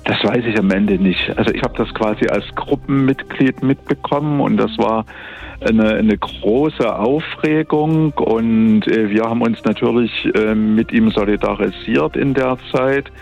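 A man's voice: on the low side, measured pace, creaky, darkish tone, soft background music. A man in his fifties from eastern Germany, recalling things thoughtfully in a telephone interview. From Dresden, eastern Germany. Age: 50s. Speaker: interview guest, church academy director. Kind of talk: spontaneous, reflective recollection in an interview. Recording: dull, band-limited telephone line.

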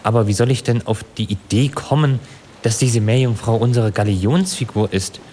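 A man's voice: medium pitch, moderate pace, dark tone, a little hiss or hum, natural voice. A man playing a bewildered, lovestruck young sailor in an audio story, speaking earnestly and a little defensively. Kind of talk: acted German audio story.

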